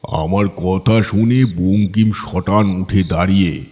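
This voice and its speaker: A man's voice: sits low, slow pace, dark tone, husky. A man narrating a scripted horror story as an audiobook reader. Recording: long hall-like reverb.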